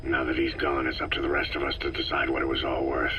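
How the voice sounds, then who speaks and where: A man playing a stoic, weary middle-aged soldier in a video game, gravelly and terse, with measured, somber delivery. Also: very deep voice, monotone. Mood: somber, reflective. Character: gruff, stoic, weary veteran soldier commander. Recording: noisy cassette tape recording.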